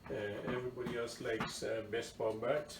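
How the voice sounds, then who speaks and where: A man's voice: medium pitch, level tone, brisk. A man from southern Georgia with a Georgia accent, casually walking through a recipe as host of a home cooking show, repeating words for emphasis. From southern Georgia, USA. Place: home kitchen. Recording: echoey kitchen, distant mic, noisy background.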